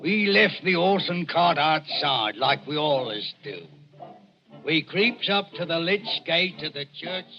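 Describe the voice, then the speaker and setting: An actor playing a brash, bluff body snatcher with a gravelly voice in a 1940s radio drama. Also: male voice, fairly high pitch, lively intonation, a little slow. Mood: brash, irritated. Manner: bluff, domineering. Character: brash, rough Cockney body-snatcher uncle. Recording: muffled old radio recording with music.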